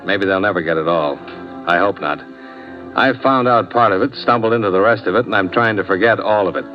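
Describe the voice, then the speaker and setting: A man plays a self-assured investigator from a fifties radio noir. His voice is low, dry and clipped, wry as he narrates or questions. The recording is dull and vintage, with faint music. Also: fairly quick, close to an everyday voice. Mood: wry, somber. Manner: dry, clipped, hard-boiled. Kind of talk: 1950s radio drama acting, first-person noir narration.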